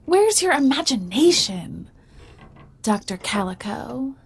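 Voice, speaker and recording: Woman as a brash, animated female street cat. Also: fairly high pitch, slow, vocal fry, slight background noise, exaggerated voice. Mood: smug. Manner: bossy, theatrical, emphatic. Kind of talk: audio drama acting.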